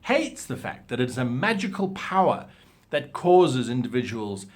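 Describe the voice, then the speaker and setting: A man presenting role-playing advice in an instructional style, with deliberate, emphatic and theatrical phrasing. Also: medium pitch, very animated. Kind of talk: instructional talk with examples.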